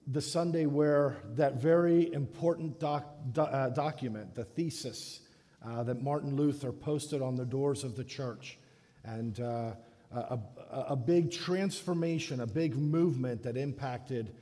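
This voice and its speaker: A man from the Dominican Republic preaching a sermon in a church, halting often and restarting his phrases. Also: mid-range voice, mellow. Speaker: guest preacher. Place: church sanctuary. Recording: echoey church hall.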